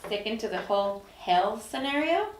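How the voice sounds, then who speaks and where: A woman acting a sharp, guarded executive in a TV script table read, with a skeptical, sarcastic and commanding manner. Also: medium pitch, animated, moderate pace, far from the mic, close to an everyday voice. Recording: roomy, off-mic, faint background noise.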